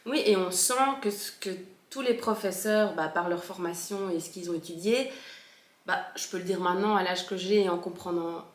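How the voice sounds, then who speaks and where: A woman fondly recalling her school years in a relaxed chat, often pausing and restarting her sentences. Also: fairly low pitch. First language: French. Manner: hesitant, many fillers and restarts. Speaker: former Steiner school pupil. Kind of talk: casual recollection. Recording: echoey room.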